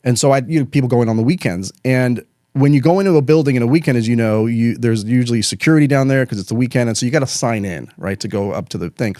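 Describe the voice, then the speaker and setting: A man's voice: mid-range voice, darkish tone, dry recording. A middle-aged man from Chile, an attorney guest telling his career story in casual, laid-back talk, with a studio microphone. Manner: fast, casual, says "dude" and "man".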